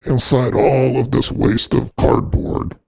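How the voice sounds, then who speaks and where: A man narrates an unboxing with heavy creak and a husky rasp, his voice run through a voice modifier. He is casual and irreverent, and swears freely. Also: medium pitch, takes it slowly, a little mellow. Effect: voice changer processing. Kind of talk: casual scripted product unboxing voice-over.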